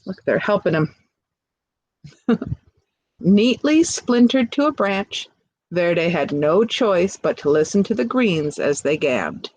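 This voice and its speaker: A woman reading a picture book aloud expressively, pausing to chat to young listeners and ask them questions. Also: on the low side, very animated, darkish tone, no echo, low-quality recording. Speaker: storybook reader.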